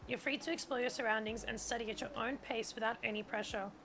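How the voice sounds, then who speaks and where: German-native woman streamer, casual and rambling, reads prompts aloud, a bit high-pitched. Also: steady tone, fast, fairly bright tone, a little hiss or hum, rough recording. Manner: chatty, heavy use of "like", reads on-screen tutorial text aloud. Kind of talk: casual gameplay stream commentary.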